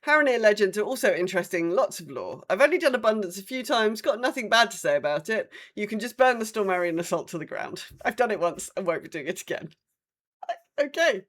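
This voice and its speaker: A woman's voice: medium pitch, big pitch swings, fast talker. A woman co-hosting a casual gaming podcast, chatting and reading out comments in an informal way. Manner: informal, conversational. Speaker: podcast co-host.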